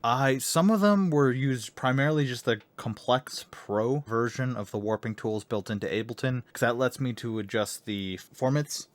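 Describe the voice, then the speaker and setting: A young man with a deep bass voice casually explaining how he made monster sounds in his home studio, rambling with lots of fillers. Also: no echo. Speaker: music producer. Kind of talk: casual tutorial talk.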